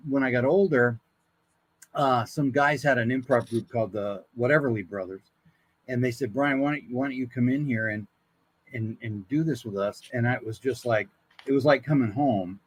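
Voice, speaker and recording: A man's voice: medium pitch, moderate pace, dry recording, poor audio. A man speaking as a guest voice actor, telling stories about himself in a casual, conversational way. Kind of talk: casual autobiographical storytelling.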